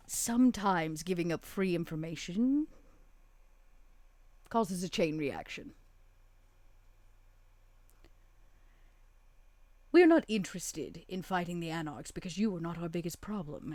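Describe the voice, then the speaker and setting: A woman voicing a calculating vampire envoy in a tabletop game, speaking in a measured, formal, sly way with polite menace. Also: on the low side, lively intonation, darkish tone, no echo. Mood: sly, knowing.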